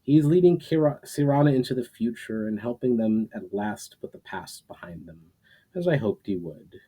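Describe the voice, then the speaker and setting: A man voicing a wise, mysterious man in a storybook, speaking calmly and formally as a knowing old friend. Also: medium pitch, normal speed, slightly rough, low-quality recording. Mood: fond.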